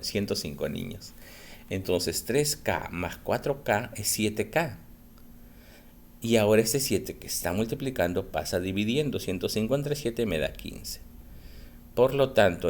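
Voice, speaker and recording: Low-voiced guy teacher, slow patient math lesson for kids, asks stuff and answers it himself. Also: a little hiss or hum. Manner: slow, patient explanations, asks and answers his own questions. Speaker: school teacher. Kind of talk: recorded math lesson for children.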